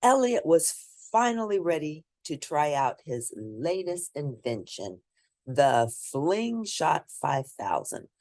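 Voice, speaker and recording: A woman reading a children's picture book aloud in an expressive, emphatic way, with asides to young listeners. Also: sits low, slow pace. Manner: animated, emphatic storytelling. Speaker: children's book reader and host.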